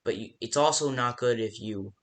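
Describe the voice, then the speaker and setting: A man playing a rambling, hesitant phone guide who briefs a night guard in a game, with a gravelly voice. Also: medium pitch, moderate pace, a bit bright, natural voice. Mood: casual, louder. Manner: hesitant, rambling, full of stops and second thoughts. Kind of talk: improvised-sounding instructional phone call for a game.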